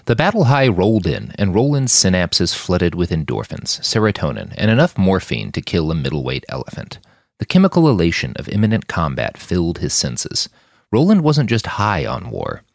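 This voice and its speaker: Animated male storyteller, very lively pitch. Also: moderate pace, creaky, no echo, clean studio sound. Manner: expressive, acting out dialogue. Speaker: fiction podcast author and narrator. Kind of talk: dramatic audiobook-style narration of military science fiction.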